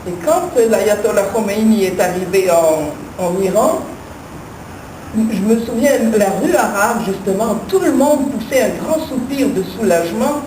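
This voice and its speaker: A woman's voice: mid-range voice, lively intonation, a little slow, warm tone. An older woman on a panel, recalling past decades with engaged emphasis. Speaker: panel speaker. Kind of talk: reminiscing discussion. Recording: echoey room, distant mic, background noise.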